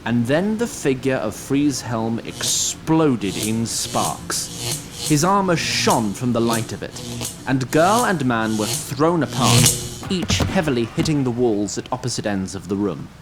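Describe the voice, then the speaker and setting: A man's voice: medium pitch, lively intonation, unhurried, over loud music. A man narrating a scripted fantasy audio drama in a dramatic storytelling style.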